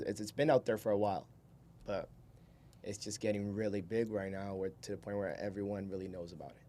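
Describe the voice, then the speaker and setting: Young guy on a podcast, lowish voice, rambling casual stories, bit of background noise. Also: mellow. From Brazil. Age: 20s. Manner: informal, rambling, chuckles while talking. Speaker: podcast guest, solar salesman. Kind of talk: casual storytelling in an interview.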